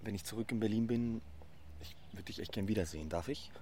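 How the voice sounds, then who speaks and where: A man's voice: fairly low pitch, dark tone, slight background noise, natural voice. An actor playing a hesitant, polite, apologetic man in a naturalistic radio drama. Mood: contrite, hesitant. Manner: hesitant, halting.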